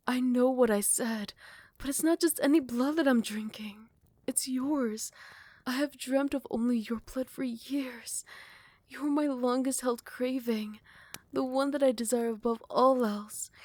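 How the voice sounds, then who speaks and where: Girl doing a royal vampire lover for asmr roleplay, highish voice, dry close sound, courtly wording, breathy and yearning. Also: darkish tone, dry recording, close to an everyday voice. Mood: craving, conflicted. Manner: formal, archaic courtly phrasing, breathy half-whispers. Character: yearning royal vampire woman, possessive yet tender lover. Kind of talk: ASMR roleplay, intimate dramatic monologue.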